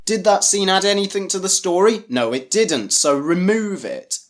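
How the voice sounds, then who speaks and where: A man's voice: high voice, normal speed, slight background noise. A man narrating a scripted review in a critical, dryly sarcastic manner.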